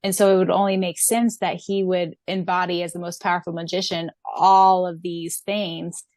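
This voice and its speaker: A woman's voice: on the low side, level tone, normal speed, darkish tone, no echo. A young adult woman co-hosting a podcast, talking casually in a fan discussion. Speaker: podcast co-host and audiobook narrator.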